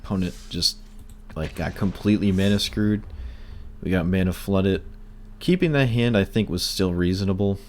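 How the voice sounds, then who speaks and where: A man streaming a card game, talking through his plays in casual commentary, hoarse and losing his voice, wry and muttering at bad luck. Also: low-pitched, normal speed, a little mellow. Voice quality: breaking, cracking voice.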